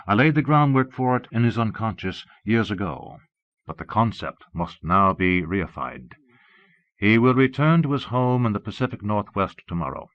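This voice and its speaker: A man's voice: lowish voice, big pitch swings, normal speed, dry recording. A man voicing a scheming, possessive disembodied evil mastermind in an audiobook, commanding, grandiose and darkly doting. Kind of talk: audiobook character voice. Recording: muffled old cassette audiobook.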